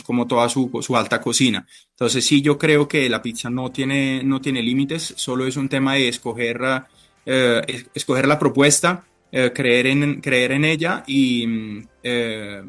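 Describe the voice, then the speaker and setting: A man speaking Spanish, giving halting, deliberate conversational answers as a chef being interviewed. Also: mid-range voice, fairly even intonation, a bit bright, faint music behind. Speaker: interview guest, restaurant chef.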